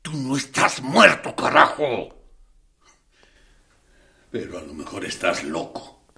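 An actor playing a harsh male military captain interrogating a prisoner in a radio drama, with a gruff manner. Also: medium pitch, sing-song, slow pace, sharp tone, full character voice. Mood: angry. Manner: gruff, swinging between coaxing and angry outbursts.

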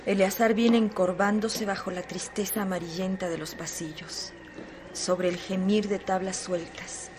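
A woman narrating a literary radio drama with dramatic, deliberate phrasing. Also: medium pitch, fairly even intonation, noisy background, close to an everyday voice.

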